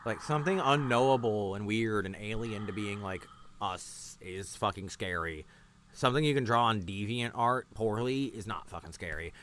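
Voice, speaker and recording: A man's voice: on the low side, moderate pace, fairly bright tone, slight background noise. A man hosting a livestream, giving casual, rambling reaction commentary in a blunt, sarcastic, sweary manner. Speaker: livestream host.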